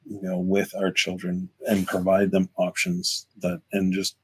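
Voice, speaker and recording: Husky deep-voiced podcast host. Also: male voice, monotone, unhurried, rough recording. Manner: earnest, reflective, repeats words. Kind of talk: casual podcast chat.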